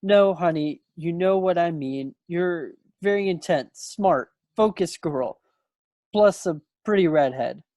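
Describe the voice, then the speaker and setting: A man's voice: a little high, takes it slowly, darkish tone, no echo. A man playing a playful, folksy young small-town suitor from the 1940s in a play reading over a video call. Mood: teasing, fond. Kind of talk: stage play table read. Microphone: computer microphone on a video call.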